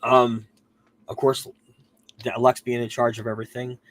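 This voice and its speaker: Guy with a cold rambling thru a tv recap, um's everywhere, keeps correcting himself, mid pitch. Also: little pitch movement, normal speed, a bit bright. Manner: rambling, self-correcting, frequent "um"s. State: getting over a cold, losing his voice. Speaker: podcast host reviewing a TV series. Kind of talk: casual recap chat.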